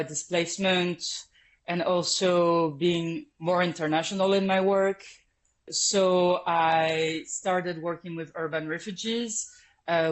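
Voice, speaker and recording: Hesitant woman guest with many fillers. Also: lowish voice. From Lebanon and France. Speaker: podcast guest, consultant. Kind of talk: conversational interview.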